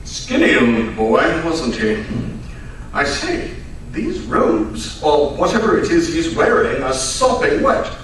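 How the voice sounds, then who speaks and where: A man plays a blustery, grumbling detective's sidekick in a radio play. His fairly high voice swoops about with incredulous exclamations. It is heard at a distance in a very echoey space. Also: wildly swooping pitch, slow pace, fairly bright tone, heavily acted. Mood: astonished, skeptical. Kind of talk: old-time radio drama acting. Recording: echoey live stage, distant mic, faint noise.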